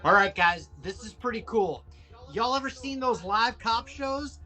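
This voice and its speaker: A man playing an excitable live-streamer and younger brother in a film script read-through. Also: extremely high pitch, unhurried, faint music behind, heavily acted. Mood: hyped.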